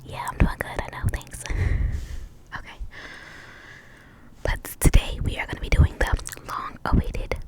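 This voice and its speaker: An American woman in her twenties telling a casual, chatty ASMR story, slightly short of breath, repeating words softly. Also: a little slow, long pauses. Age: 20s. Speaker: ASMR video host.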